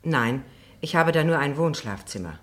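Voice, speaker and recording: A woman's voice: low-pitched, lively intonation, normal speed, a bit bright. An actress playing a plain woman in her thirties testifying in a terse, careful, matter-of-fact way in a German radio drama. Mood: guarded, precise. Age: 30s. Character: plain, precise 39-year-old single woman testifying.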